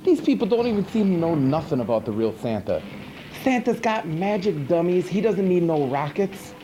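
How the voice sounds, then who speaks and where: A man's voice: high-pitched, very animated, normal speed, dark tone. A man playing a goofy monster who hosts a horror movie show, rambling in slangy, exasperated comic commentary. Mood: apologetic, grumbling. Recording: noisy 1980s VHS TV tape.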